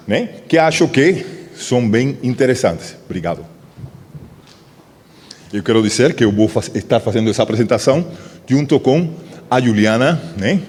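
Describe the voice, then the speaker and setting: A man's voice: lowish voice, a little mellow. A middle-aged man with a Cuban Spanish accent gives a formal academic presentation at a ceremony, hesitating with many fillers and tag questions. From Cuba. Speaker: research director giving a presentation. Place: large auditorium. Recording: long reverb of a large hall.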